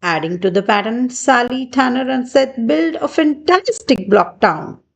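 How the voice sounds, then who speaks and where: A woman reading a picture book aloud for young children in deliberate, measured short phrases. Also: on the high side, animated, creaky. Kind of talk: read-aloud storybook narration for young children.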